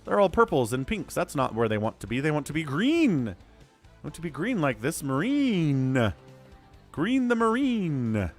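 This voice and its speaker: A man calling a live strategy game match solo, his voice a little hoarse and stuffy from a cold, swinging from calm analysis to loud exclamations. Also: on the high side, moderate pace, faint music behind. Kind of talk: live esports play-by-play commentary.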